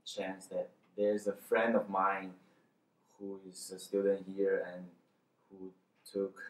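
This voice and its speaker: A young man, a PhD student introducing himself in a halting, shy way. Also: deep voice, monotone. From China. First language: Chinese. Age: young adult. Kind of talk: casual self-introduction. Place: conference room. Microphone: distant camera mic. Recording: roomy, distant mic.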